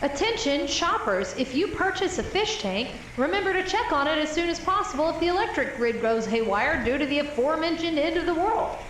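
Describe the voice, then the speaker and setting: An actress playing a jaded, sardonic store employee making mock announcements over an intercom in an apocalypse audio drama. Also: female voice, high voice, expressive, fairly quick, hardly any pauses, fairly bright tone. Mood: mock cheery. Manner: sarcastic mock-announcer delivery. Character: jaded young female superstore employee, lone apocalypse survivor, sardonic. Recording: echoing intercom, distant, faint noise.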